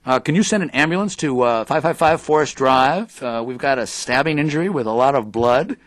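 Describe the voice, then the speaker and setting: A man plays a hesitant, lovestruck male reporter in an audio drama. He stammers and halts, and his pitch swings widely in a very dry recording. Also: animated, no room sound, close to an everyday voice. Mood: uneasy. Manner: halting, stammering delivery.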